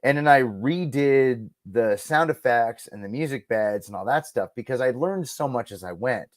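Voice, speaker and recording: A man's voice: medium pitch, lively intonation, moderate pace, no echo, studio quality. A man speaking as a podcast guest in a casual interview, enthusiastic and emphatic about his own creative work. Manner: enthusiastic, emphatic, acts out quoted lines. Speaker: guest, radio producer and audio drama creator.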